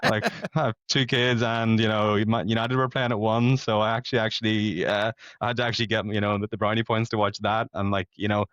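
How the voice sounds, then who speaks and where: A Northern Irish man in his late thirties talks in a low voice, chatty and self-deprecating. He rambles easily like a podcast guest. The sound is dry and a bit dull, lo-fi. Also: flat delivery, brisk, few pauses, fairly bright tone, no room sound. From Belfast, Northern Ireland. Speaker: podcast guest, amateur competitive runner. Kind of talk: casual conversational storytelling.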